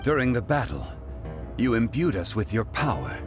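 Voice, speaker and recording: A man voicing a calm, stern, dignified immortal in a video game, speaking formally and with composure. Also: mid-range voice, normal speed, over music, close to an everyday voice. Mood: composed, grave. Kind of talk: scripted video game voice acting.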